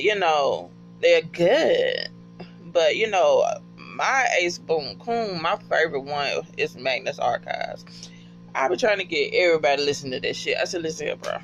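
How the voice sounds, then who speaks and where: High-pitched, creaky-voiced man. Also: a bit bright, poor audio. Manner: slangy, profane, emphatic, with repeated phrases. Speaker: podcast fan giving recommendations. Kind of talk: casual, unscripted chat.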